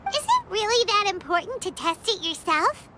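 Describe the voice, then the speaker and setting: A woman voicing a tiny, childlike, curious fairy companion in a video game, chatty and blunt with a squeaky voice. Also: big pitch swings, moderate pace, noisy background. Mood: concerned, curious. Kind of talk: scripted video game character dialogue.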